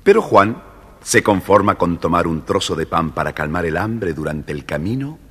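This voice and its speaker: A man's voice: low-pitched, expressive, moderate pace, fairly bright tone, slightly rough. A man with an Argentine accent narrating a scripted children's storybook. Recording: hall reverb, faint background noise.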